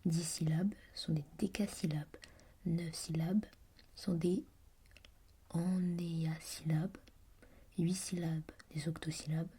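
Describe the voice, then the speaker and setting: A woman whispering as she reads aloud from a language reference book, softly musing and adding her own comments. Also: lowish voice, fairly even intonation, husky. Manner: whispering throughout; soft and musing, pausing to comment. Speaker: reader.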